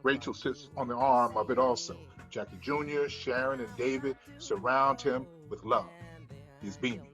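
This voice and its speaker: A man's voice: medium pitch, fairly even intonation, normal speed, fairly bright tone, lo-fi sound, faint music behind. A man narrating the stage directions of a screenplay at a table read.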